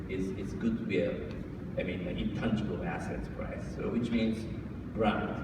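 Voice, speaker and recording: A man's voice: on the low side, distant mic, heavy background noise. Japanese-accented man in an echoey hall. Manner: halting, with frequent restarts and chuckles. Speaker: guest speaker at a book launch. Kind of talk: informal speech to an audience. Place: large indoor event hall.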